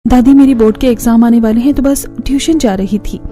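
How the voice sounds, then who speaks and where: A woman's voice: a little high, a little fast, mellow, soft background music, lightly acted. A woman voicing an aggrieved twenty-year-old daughter, pleading and protesting in a family cartoon. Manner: plaintive, protesting. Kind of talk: Hindi family cartoon voice acting.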